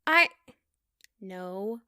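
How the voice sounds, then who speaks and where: A woman's voice: mid-range voice, expressive, very slow, bright, forceful tone, heavily acted. A woman playing a timid young niece of a villain in an audio drama, hesitant and subdued. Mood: hurt, hesitant.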